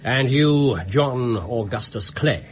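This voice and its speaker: An actor playing a commanding, shrewd gentleman detective in a radio drama, crisp and precise in his speech. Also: medium pitch, takes it slowly, close to an everyday voice. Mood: composed.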